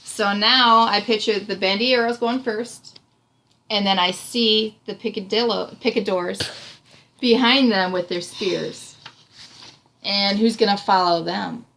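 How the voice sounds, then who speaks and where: Woman, creaky voice, medium pitch, storybook read-aloud, encouraging tutor. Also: steady tone, measured pace, fairly bright tone. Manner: encouraging, explanatory. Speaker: reading tutor reading aloud to a child. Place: small room indoors.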